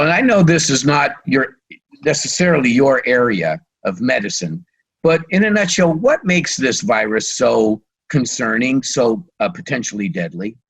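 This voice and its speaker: Gravelly male host losing his voice. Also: medium pitch, sing-song, fairly bright tone. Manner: halting, searching for words. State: voice starting to give out. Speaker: radio show host. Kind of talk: conversational radio interviewing.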